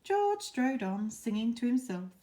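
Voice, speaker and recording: A woman's voice: fairly high pitch, big pitch swings, unhurried, warm tone. A woman narrating a children's story expressively, like a teacher, with chatty asides to her listeners. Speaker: teacher reading a picture book.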